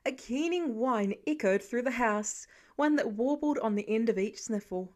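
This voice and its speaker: A woman with a fairly high voice narrates a story. She reads scripted fiction in the manner of an audiobook narrator. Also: lively intonation, normal speed, darkish tone.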